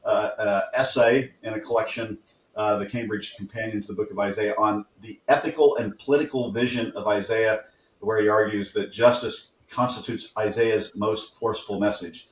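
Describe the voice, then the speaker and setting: A man's voice: on the low side, steady tone, normal speed. Brief: male co-host, casual and rambling, roomy far-off recording. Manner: easygoing and rambling, with frequent fillers and self-corrections. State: groggy. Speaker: podcast co-host. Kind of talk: casual interview talk. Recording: roomy sound from a distant mic.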